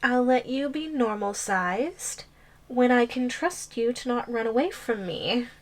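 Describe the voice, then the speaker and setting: A woman plays a possessive yandere giantess in an ASMR roleplay. Her voice sits fairly high and she takes her time over every phrase. She teases playfully, humming and sighing as she toys with her tiny partner. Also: expressive. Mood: possessive, teasing. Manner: slow, playful, teasing delivery with hums and sighs. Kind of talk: ASMR audio roleplay acting.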